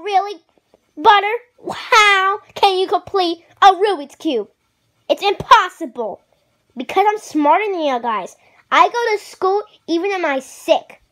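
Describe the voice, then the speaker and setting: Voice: very high-pitched, fairly bright tone. A child or young teen acting out a homemade puppet show skit. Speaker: puppeteer voicing all the characters. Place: bedroom at home. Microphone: handheld phone camera.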